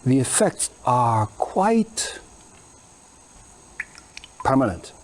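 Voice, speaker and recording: A man's voice: medium pitch, sing-song, very slow, full character voice. A man playing a sinister, leering Soviet interrogator in a video game, drawing out words with mock-tender menace. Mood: menacing relish.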